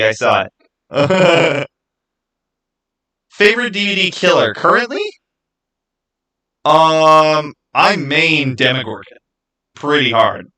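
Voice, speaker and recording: A man's voice: on the high side, sharp tone. A man hosting a casual livestream, rambling and thinking aloud as he answers viewers by name. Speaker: livestream host.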